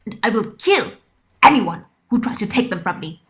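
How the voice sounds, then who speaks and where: A woman's voice: mid-range voice, lively intonation, normal speed, close to an everyday voice. A woman playing a devoted, motherly older servant with a solemn, intense and menacing edge in an audio drama. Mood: fierce threat.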